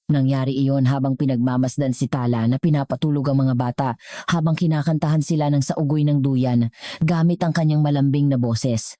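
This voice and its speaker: A woman's voice: very deep voice, fairly even intonation, moderate pace, mellow, no echo, studio quality. A woman with a Filipino accent narrating a scripted story as a storyteller.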